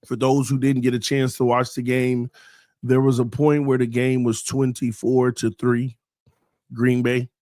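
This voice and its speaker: Male sports host, solo live show, sing-song hype delivery, voice going hoarse, dry mic. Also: medium pitch, little pitch movement, a little slow, darkish tone, dry recording. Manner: sing-song, hyped morning greetings. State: losing his voice.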